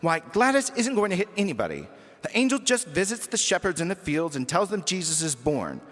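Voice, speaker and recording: A man's voice: sits high, sing-song, a little fast, fairly bright tone, voice pushed up, exaggerated voice. A man voicing a firm, exasperated woman who directs a children's pageant, explaining patiently but turning no-nonsense. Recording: echoey hall reverb with music.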